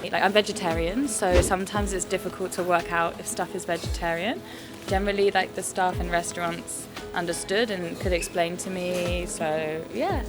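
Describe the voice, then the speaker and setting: Fast-talking woman traveler. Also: fairly low pitch, fairly even intonation, music in the background. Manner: speaks quickly. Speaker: traveler being interviewed. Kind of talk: casual interview answers.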